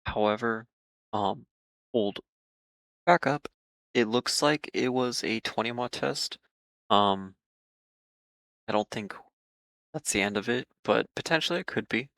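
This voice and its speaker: A man hosting market commentary for viewers, speaking in a measured, cautious way with frequent ums and repeated words while losing his voice. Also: medium pitch, fairly bright tone, no room sound. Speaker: market analysis host.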